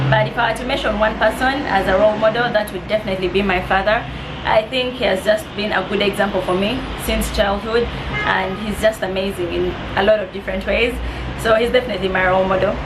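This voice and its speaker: Young East African woman vlogging, noisy room. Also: mid-range voice. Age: 20s. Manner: friendly, slightly nervous, reading questions aloud with soft laughs. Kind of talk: casual Q&A vlog.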